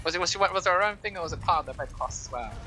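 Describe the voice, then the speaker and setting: A man's voice: sits high, animated, very fast. British man chatting over music. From United Kingdom. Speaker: co-commentator. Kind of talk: casual history chat over a game.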